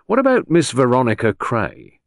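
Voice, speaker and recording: A man playing a shrewd police inspector in an audiobook, probing in a confidential, slightly gruff manner. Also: mid-range voice, wildly swooping pitch, moderate pace, no echo, clean studio sound, voice pushed up. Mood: sharp questioning. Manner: easy, confidential, probing, grunting at times. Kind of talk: audiobook character reading.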